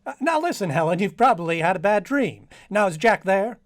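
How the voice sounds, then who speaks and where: A man's voice: high-pitched, expressive, brisk. Man as baffled, stammering radio-drama friend. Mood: baffled, sceptical. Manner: stammering, reasoning. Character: baffled, sceptical man receiving a desperate phone plea. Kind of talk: old-time radio suspense acting.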